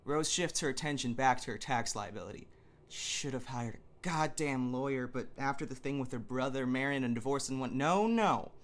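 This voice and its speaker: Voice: medium pitch, moderate pace. A narrator giving a wry, theatrical dramatic reading of a scripted story.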